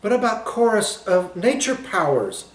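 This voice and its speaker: A woman's voice: medium pitch, very animated, unhurried, echoey room, far from the mic, pitched above the performer's own voice, poor audio. A man voicing a naive, eager woman in a storybook, earnest and guileless. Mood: eager suggestion.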